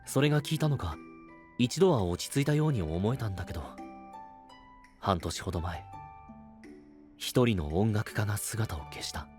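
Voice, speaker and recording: Man acting gentle young knight, lively intonation. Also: on the low side, normal speed, long pauses, soft background music, natural voice. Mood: somber explaining. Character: gentle, responsible young male knight, guardian of a troubled kingdom. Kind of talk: Japanese anime-style audio drama acting.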